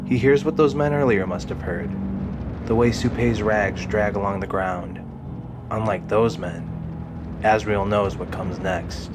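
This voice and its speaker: A man's voice: on the low side, unhurried, heavy background noise. A man reading dramatic scripted narration for an audio drama.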